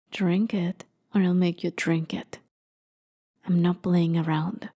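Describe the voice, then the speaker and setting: A husky, breathy immortal warrior woman, acted by a female performer, speaks low and unhurried in an intimate ASMR roleplay. She is haughty and world-weary, telling her story with sardonic ease and often sinking toward a whisper. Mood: commanding, impatient. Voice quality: soft, breathy, often dropping to a near whisper. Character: immortal warrior demigoddess, haughty, jaded, wry captor. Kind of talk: ASMR roleplay, dramatic monologue.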